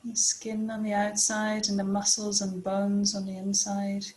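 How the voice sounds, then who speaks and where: Slow-paced woman guiding meditation. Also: medium pitch, monotone. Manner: gentle, unhurried, with long pauses. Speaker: meditation teacher. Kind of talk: guided body scan meditation.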